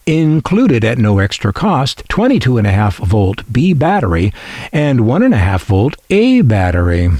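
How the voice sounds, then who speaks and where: Man, scripted narration, lively intonation, folksy wry manner, dry recording. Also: medium pitch, normal speed, dry recording. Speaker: collector and narrator.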